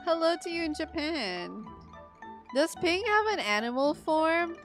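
A woman from the Philippines giving chatty, expressive reactions as she livestreams a game. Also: very high voice, measured pace. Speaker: game streamer. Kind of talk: casual livestream reaction commentary. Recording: game music behind the voice.

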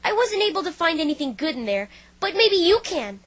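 A woman's voice: very high voice, sing-song, fairly quick. A woman voicing a brash, defensive young female pegasus pony in a fan dub, with a casual, cocky delivery. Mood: casual, confiding. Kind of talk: fan dub voice acting of a visual novel. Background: music.

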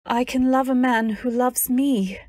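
A woman's voice: high voice, fairly even intonation, measured pace, darkish tone, soft background music. A woman playing a fiercely loyal, protective wife in a radio drama, speaking earnestly and with dramatic emphasis. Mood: pleading. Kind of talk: old-time radio drama acting with first-person narration.

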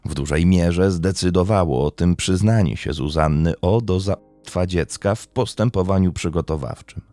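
A man's voice: low-pitched, moderate pace, dark tone, no echo, faint music behind. A man hosting a true-crime podcast as a scripted narrator.

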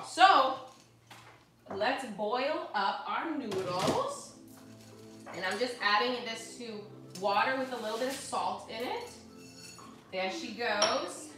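Young woman, bubbly live-cooking host, echoey kitchen, faint music behind. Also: medium pitch. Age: young adult. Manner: bubbly, chatty, addresses viewers as y'all. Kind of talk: live cooking stream, casual chat. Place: home kitchen, indoors. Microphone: fixed camera at counter.

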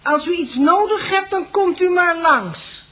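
A woman's voice: extremely high pitch, animated, a little slow, pushed and bright, heavily acted. An actress playing a blunt, practical farmer's wife and landlady in a Dutch radio drama.

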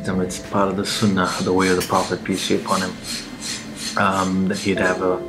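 Deep-voiced man, casual vlog chatter. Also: fairly quick, loud music behind the voice, rough recording. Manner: relaxed and chatty, with fillers. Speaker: vlogger. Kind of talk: casual early-morning vlog talk.

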